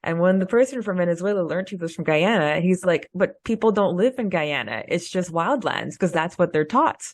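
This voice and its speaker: Casual podcast co-host: woman, slightly low voice, very dry recording. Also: expressive, moderate pace, a little mellow, dry recording. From Canada.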